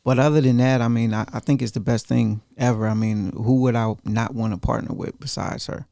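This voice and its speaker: Soft-spoken, gravelly man as interview guest. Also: mid-range voice, steady tone, a little fast, dry recording, studio quality. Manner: soft-spoken and hesitant, many ums. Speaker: guest entrepreneur. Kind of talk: interview answers. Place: studio. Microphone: studio mic.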